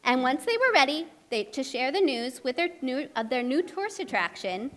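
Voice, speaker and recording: A woman tells a story to young children at a live puppet show. Her high voice is expressive and rings through an echoey hall. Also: moderate pace. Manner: expressive, addresses young children with simple questions.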